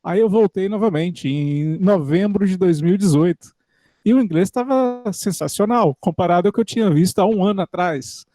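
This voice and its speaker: A man's voice: high voice, moderate pace, mellow, no echo. A man from Brazil hosting a podcast in a casual interview, chatty and informal. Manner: chatty, informal, uses "cara". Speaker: podcast host.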